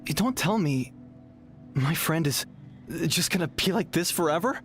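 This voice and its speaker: A man's voice: on the high side, expressive, normal speed, a bit bright, soft background music. A man playing a distressed young warrior, pleading and emotional, in a video game.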